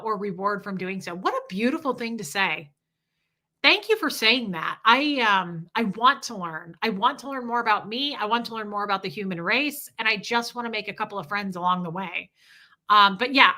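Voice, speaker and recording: 40ish woman on a livestream, bright high-ish voice, swears a lot, reads chat out loud. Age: 40s. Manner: candid and profane, reading viewer comments aloud. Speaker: livestream host. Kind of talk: casual livestream chat reading super chats.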